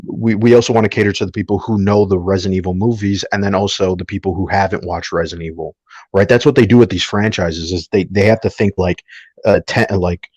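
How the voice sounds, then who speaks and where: A man's voice: low voice, fairly even intonation, fast, a little mellow, breathy edge, no echo, dull audio. A man co-hosting a podcast, explaining his opinions argumentatively in casual discussion and checking in with short tag questions. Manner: argumentative, explanatory, often tags sentences with "right?". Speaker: podcast co-host.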